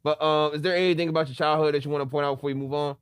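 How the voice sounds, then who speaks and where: A man hosting a casual interview in a relaxed, slangy way, restarting his questions as he goes. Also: on the high side, very fast, clean tone, dry recording, studio quality. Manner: relaxed, slangy, repeats himself as he asks questions. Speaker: podcast host.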